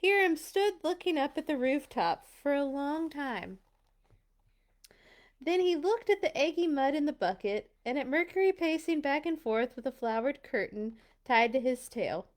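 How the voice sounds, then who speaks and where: A woman reading a children's storybook aloud, dramatic and expressive, with little personal asides. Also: high voice, moderate pace. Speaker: storytime reader.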